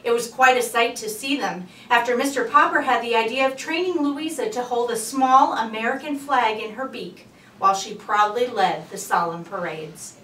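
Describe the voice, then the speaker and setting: A woman reading a children's storybook aloud like a librarian, with deliberate phrasing and emphasized words. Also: fairly high pitch, a bit bright. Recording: roomy echo, distant mic, faint noise.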